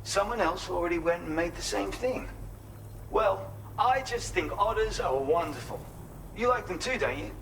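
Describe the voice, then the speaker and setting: An actor playing an eccentric, cheerful male inventor in a video game, speaking in a whimsical, earnest and expressive way. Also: high voice, moderate pace, vocal fry, distant mic, a little hiss or hum, full character voice, rough recording. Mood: whimsical, delighted. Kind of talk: scripted video game cutscene acting.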